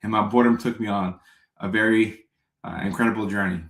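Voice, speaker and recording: A man's voice: lowish voice, fairly even intonation, fairly quick, fairly bright tone. A man from Ghana, a comedian, chatting casually with live-stream viewers, greeting them by name and often repeating himself. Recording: echoey room.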